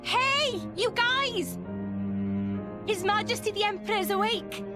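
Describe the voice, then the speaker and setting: A woman's voice: extremely high pitch, a little slow. A woman playing a cheeky, boastful young healer in a video game, with a breezy, informal manner. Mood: excited. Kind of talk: animated video game voice acting. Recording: loud music under the voice. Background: loud music.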